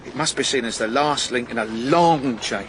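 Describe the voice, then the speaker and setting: An actor playing an indignant, pompous gentleman in a radio drama, with an oratorical, emphatic way of talking. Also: medium pitch, moderate pace, noisy background, close to an everyday voice. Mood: earnest argument. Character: indignant, opinionated gentleman, pompous partisan of nobility.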